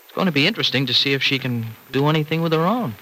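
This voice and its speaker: An actor playing a chatty, teasing young farmer in a 1940s-style radio soap opera, on a noisy old recording. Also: male voice, on the high side, animated, rapid-fire, sharp tone. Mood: confiding.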